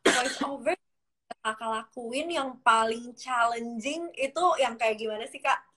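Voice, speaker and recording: A woman's voice: a little high, unhurried, a bit bright. A young woman hosting an interview, attentive and polite, with frequent hmms and okays. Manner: attentive, frequent hmm and okay, politely addresses guest. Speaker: interviewer, host. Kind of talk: casual interview.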